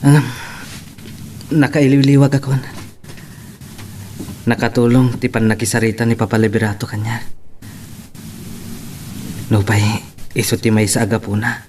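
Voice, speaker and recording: A young man of medium pitch played by an actor speaks gently and sorrowfully in a radio-style love drama. Faint noise sits behind the voice. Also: level tone, a little slow, long pauses, close to an everyday voice. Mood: grateful, tender.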